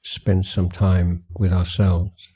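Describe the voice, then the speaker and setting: Male meditation guide. Low, even pitch, measured and gentle retreat talk, unhurried phrases with long pauses. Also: slightly rough. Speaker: Buddhist meditation teacher.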